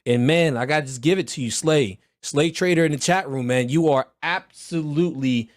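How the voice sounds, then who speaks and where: A man leads a livestreamed trading mentorship, and his voice is hoarse as he recovers from an illness. His pitch sits a bit high, and the recording is dry and close. He talks bluntly and loudly, with slang, pushing his listeners like a coach. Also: normal speed, no echo. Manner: loud, blunt, motivational, slangy.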